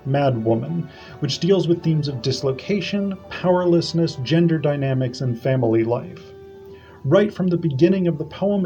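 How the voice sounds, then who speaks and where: Man reading an academic paper, mellow voice. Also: fairly high pitch. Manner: measured, formal academic delivery. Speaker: academic presenter. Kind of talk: reading a prepared conference paper. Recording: dull lo-fi audio.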